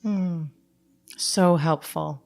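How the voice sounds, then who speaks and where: Low-voiced woman podcast host. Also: drawn-out words, gravelly, a little mellow, no room sound, faint music behind. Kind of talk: interview and solo podcast segment.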